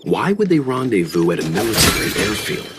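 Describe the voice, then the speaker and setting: A man playing a calm, thoughtful and gently skeptical mentor in a video game, reserved and measured. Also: lowish voice, heavy background noise. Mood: pensive.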